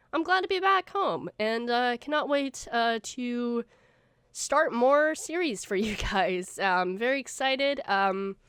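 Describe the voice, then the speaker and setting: A woman's voice: on the high side, a bit bright, clean tone, no echo, clean studio sound. A young woman with a stuffy nose, hosting a gaming channel and giving her viewers a rambling, casual update full of fillers. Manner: rambling, many fillers and restarts.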